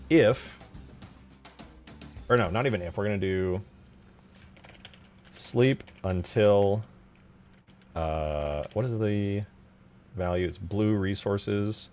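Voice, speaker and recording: A man casually narrating his game modding work, thinking aloud in a halting, muttering way as he goes. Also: on the low side, lively intonation, a little mellow, faint music behind. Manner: halting, mutters while typing.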